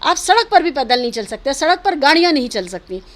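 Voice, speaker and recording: Woman, notably high voice. Interview guest arguing social and political points earnestly, with English phrases mixed in. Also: sing-song, fairly quick. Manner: emphatic, argumentative, mixes in English words and phrases. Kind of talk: earnest opinion interview, spontaneous speech.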